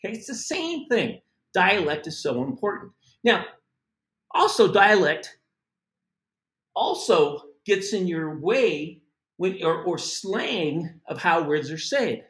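Chatty middle-aged guy from the US teaching, high voice that jumps around a lot, roomy echo. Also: slow pace. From United States. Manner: animated and chatty, with asides and laughs. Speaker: language teacher. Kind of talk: casual spoken lesson.

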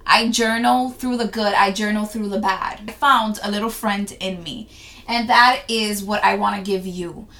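Soft-spoken reflective Dominican woman. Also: medium pitch. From Dominican Republic. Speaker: journaling vlogger. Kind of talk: reading her own journal entries aloud.

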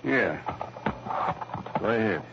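An actor playing a gruff, agitated middle-aged father in an old-time radio drama, blunt, impatient and defensive. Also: fairly low pitch, lively intonation, slow, exaggerated voice. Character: agitated, gruff retired father of a kidnapped girl. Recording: noisy, muffled vintage radio recording.

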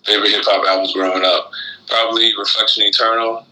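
A man from Florida chatting casually as an interview guest, halting and reflective as he talks. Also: fairly low pitch, level tone, sharp tone, rough recording. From Florida, United States, born in Guyana. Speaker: interview guest, rapper and shoe designer.

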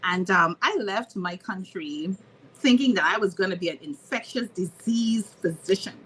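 Woman guest from Trinidad and Tobago, lively storyteller, mid pitch, faint hiss behind. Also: moderate pace, rough recording. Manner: laughs often, animated storytelling. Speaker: podcast guest, physical therapist. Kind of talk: casual interview chat.

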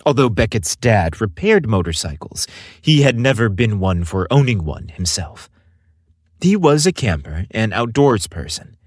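A man's voice: fairly low pitch, big pitch swings, a little slow, darkish tone, slightly rough, dry recording, studio quality. A man hosting and narrating a scripted steamy fiction podcast with a dramatic, teasing delivery.

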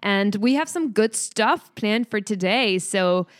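French lady cohosting a podcast, mid pitch, smooth voice, lots of ums and laughs. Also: animated, takes it slowly. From France. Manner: friendly, laughs often, many fillers. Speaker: podcast co-host. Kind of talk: casual chat.

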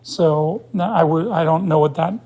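A man's voice: a little high, measured pace, creaky, mellow, poor audio. A man in his fifties, a guest in a casual sports interview, speaking in an earnest, opinionated way as he thinks aloud. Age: 50s. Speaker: interview guest, pickleball player.

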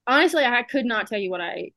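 A young woman with a Southern accent tells stories in an easy, chatty way, acting out little exchanges as she goes. Her voice sits a bit high and sounds bright and forward. It is recorded close and dry, with no room around it. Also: animated, quick pace, dry recording. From South Alabama. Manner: chatty storytelling, acts out quoted exchanges. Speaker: dental student guest. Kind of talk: casual chat.

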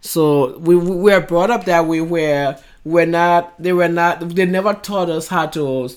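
A woman's voice: lowish voice, a little slow. A woman in her sixties from Liberia, with a Liberian accent, speaking plainly and explaining with examples in a casual interview. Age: 60s. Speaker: podcast guest. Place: home office with windows open.

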